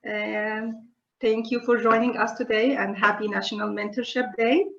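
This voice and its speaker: A woman hosting a webinar and introducing a speaker in a polite, formal, measured manner. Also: fairly high pitch, fairly even intonation, vocal fry, fairly bright tone. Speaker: webinar host. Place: online webinar connection. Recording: roomy, dull webinar audio.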